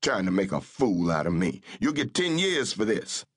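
A man's voice: fairly low pitch, very animated, normal speed, slightly rough. A man voicing a stern, tough federal marshal who gives threatening orders. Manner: commanding, threatening.

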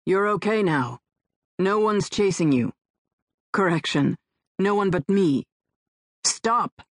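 A woman doing a gruff, curt male rescuer. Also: sits high, expressive, slow pace, no echo. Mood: commanding. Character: tough adult male rescuer, blunt, sardonic.

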